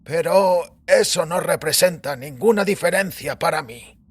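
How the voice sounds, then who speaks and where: A man playing an aging, stubbornly faithful priest with a husky voice and courteous, formal speech. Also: on the high side, unhurried. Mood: resolute. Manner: formal, courteous, archaic address.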